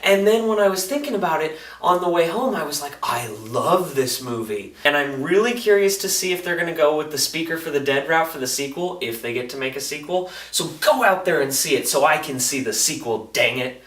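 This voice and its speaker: A man's voice: fairly high pitch, animated, brisk, hardly any pauses, a bit bright. A man reviewing a film in a casual, enthusiastic vlog style, talking colloquially and animatedly and acting out reactions in quoted asides. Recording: roomy echo, distant microphone.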